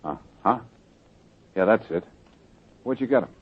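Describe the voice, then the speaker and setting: An actor playing a tough, wisecracking private investigator with an American accent, dry and sardonic, in 1940s radio drama style. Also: male voice, fairly low pitch, expressive, a little slow, breathy edge. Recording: dull old radio recording.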